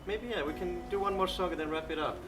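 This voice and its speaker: Fast-talking male interviewer, agreeable, captured from a distance in a noisy bar. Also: sits high, over music. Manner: agreeable, finishes the guest's sentences. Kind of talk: casual interview. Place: small town bar.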